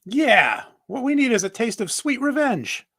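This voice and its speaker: A woman's voice: fairly high pitch, very animated, a little slow, fairly bright tone, husky, heavily acted. A woman at a live comedic table read playing a bossy, scornful cartoon ghost monster. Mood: vengeful.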